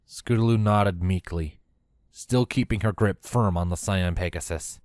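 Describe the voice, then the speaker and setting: Low-voiced man narrating a story, dry sound. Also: measured pace, darkish tone, no room sound. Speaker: fan fiction reader, narrator.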